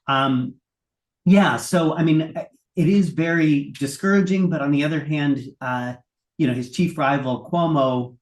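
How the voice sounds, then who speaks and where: A man's voice: on the high side, unhurried. A man speaking casually in an interview, conversational and a little hesitant. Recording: echoey room sound.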